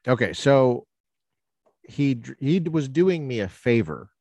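A man hosting a podcast who tells a personal story in an animated, casual way, often restarting his sentences. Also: mid-range voice, a little slow, no echo, clean studio sound. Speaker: podcast host.

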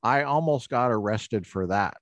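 A man hosting a podcast who tells a personal story in an animated, casual way, often restarting his sentences. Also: medium pitch, moderate pace, dry recording. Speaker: podcast host.

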